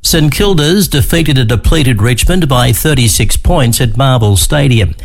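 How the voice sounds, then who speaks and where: Gravelly Australian radio newsreader. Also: male voice, mid-range voice, expressive, soft background music. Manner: measured, formal delivery. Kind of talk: scripted news bulletin.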